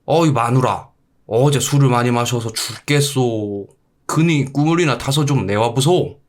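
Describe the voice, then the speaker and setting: A man playing a gruff, fed-up, hard-drinking husband in a folktale, grumbling and blustering in old-fashioned speech. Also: medium pitch, unhurried, lightly acted. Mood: groggy demanding.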